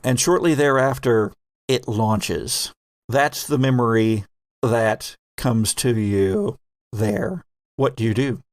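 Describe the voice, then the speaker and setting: A man's voice: medium pitch, animated, slow pace, no room sound. A man hosting as game master, narrating an improvised tabletop roleplaying game. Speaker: game master and podcast host.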